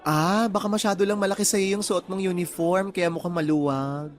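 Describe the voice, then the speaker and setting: A woman's voice: lowish voice, steady tone, normal speed, lightly acted. A woman playing a playful, effusive male best friend with a campy manner in a radio drama. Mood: concerned. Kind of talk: radio drama acting in Tagalog.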